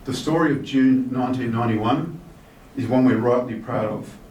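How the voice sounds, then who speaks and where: A man in his fifties giving a speech, reading a message and adding personal remarks in a relaxed way with "um" fillers. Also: mid-range voice, moderate pace. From Australia. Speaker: guest speaker reading a minister's message. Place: large hotel reception hall. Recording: echoey hall from far off with faint noise.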